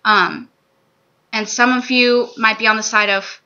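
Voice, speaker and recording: A woman's voice: a little high, steady tone, unhurried, pushed and bright. A woman in her twenties telling a personal story candidly to camera in a small room on a webcam mic. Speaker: vlogger sharing a personal testimony. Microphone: webcam or laptop mic at desk distance. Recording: dull lo-fi webcam audio.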